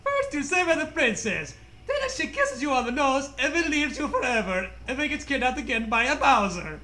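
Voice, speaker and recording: Very bright, high-voiced sarcastic male co-host. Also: very animated, normal speed. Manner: sarcastic, profane mock indignation. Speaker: comedy show co-host. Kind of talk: casual comic riffing.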